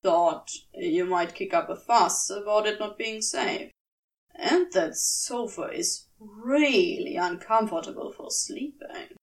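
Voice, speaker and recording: Woman as laconic demon man for a storybook, probing, slow drawl, slightly distant mic. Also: mid-range voice, voice pushed down. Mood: dry.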